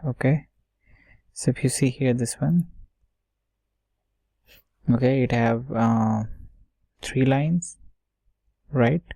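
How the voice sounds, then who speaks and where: A man talking through an informal screen-share coding tutorial, thinking aloud step by step in a halting way and often checking himself. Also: medium pitch, vocal fry, mellow, no echo. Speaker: software tutorial instructor.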